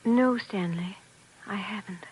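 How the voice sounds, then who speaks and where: An actress playing a haunted, obedient wife with subdued, faint replies in 1940s radio drama style. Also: female voice, mid-range voice, slow pace, breathy edge. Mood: dazed, submissive. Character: submissive, dazed, haunted wife and stage actress. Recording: noisy vintage radio recording.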